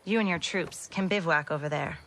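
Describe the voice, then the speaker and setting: A woman's voice: sits low, brisk, a bit bright. An actress playing a blunt, protective woman who leads a community, in a video game cutscene. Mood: firm, wary. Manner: blunt, firm.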